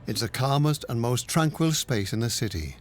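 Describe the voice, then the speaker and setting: A man narrating a travel documentary in a calm, measured scripted voice-over. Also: mid-range voice, a little hiss or hum. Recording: voice-over with music behind. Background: music.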